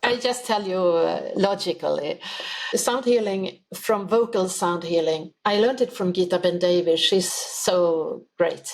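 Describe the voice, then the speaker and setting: A woman's voice: on the low side, unhurried, a little mellow. A woman being interviewed as a spiritual healer, explaining her ideas earnestly in simple, uneven English phrasing. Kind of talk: podcast interview answers.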